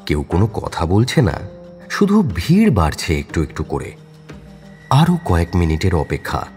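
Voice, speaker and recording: Casting: male narrator, low voice with expressive swings, dramatic crime storytelling over soft music. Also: normal speed.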